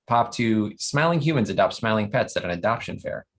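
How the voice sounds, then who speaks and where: A man's voice: fairly low pitch, animated, a little fast. A man reading scripted stage directions as narrator at a comedy table read.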